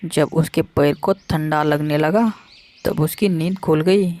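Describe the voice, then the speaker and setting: A woman reading scripted narration for a children's animated story. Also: sits low, a little slow.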